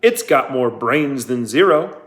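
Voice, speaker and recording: A man reading aloud, voicing a sneering adult counselor who mocks a boy loudly. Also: medium pitch, animated, a little slow. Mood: scornful. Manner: mocking, loud and scornful.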